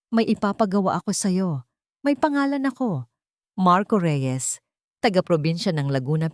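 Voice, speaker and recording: A woman's voice: mid-range voice, a little mellow, no room sound, lightly acted. Woman narrator as cold male billionaire, terse, commanding, controlling, audio drama storytelling, dry close sound. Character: controlling, cold billionaire businessman giving orders.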